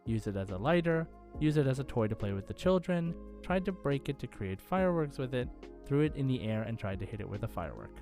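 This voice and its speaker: A man hosts a quiz podcast in a casual, conversational way. His voice sits at an average pitch, with light music playing underneath. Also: very animated, brisk, hardly any pauses, a little mellow. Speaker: podcast host and quizmaster. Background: faint background music.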